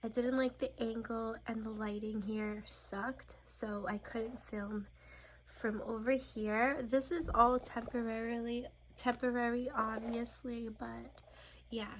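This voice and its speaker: A woman's voice: fairly high pitch, slight background noise. A woman vlogger chatting casually and informally to camera in a rambling way, slightly nasal and congested from a cold. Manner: informal, rambling, girly slang. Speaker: lifestyle vlogger. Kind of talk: casual chat to camera, room tour.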